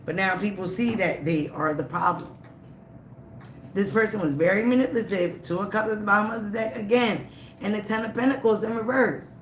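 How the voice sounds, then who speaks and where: A tarot reader giving a live reading, emphatic and repetitive, slightly raspy from a cold. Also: medium pitch, roomy sound, a little hiss or hum. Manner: emphatic, repeats phrases, frequent "okay?" and "all right?".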